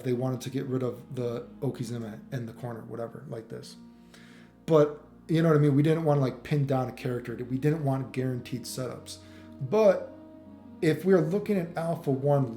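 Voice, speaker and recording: Male gaming commentator, mellow tone, opinionated and rambling with swearing, unscripted, music playing behind him in a roomy space. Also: mid-range voice. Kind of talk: casual off-the-cuff ranting game commentary.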